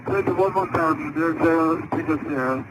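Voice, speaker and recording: A man talks as a pilot making readbacks over aviation radio. His voice sits a little high, and he speaks haltingly, often repeating words. Clear noise runs through the cockpit transmission.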